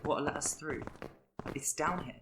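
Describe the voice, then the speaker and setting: A woman's voice: low-pitched, unhurried, background noise. A woman playing a perceptive, sceptical travelling companion in an audio drama, plain-spoken and questioning. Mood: probing.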